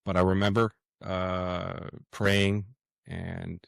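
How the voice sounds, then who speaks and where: A man talking casually as an interview guest, halting often and repeating words as he thinks out loud. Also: deep voice, little pitch movement, vocal fry, dry recording, studio quality. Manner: hesitant, halting, with frequent pauses and repeated words.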